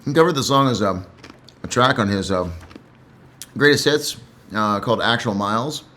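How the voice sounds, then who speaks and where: A man's voice: on the low side, lively intonation, moderate pace, bright, forceful tone. A man who reads and comments on poetry in a casual, reflective way, somewhat hesitant and repeating himself.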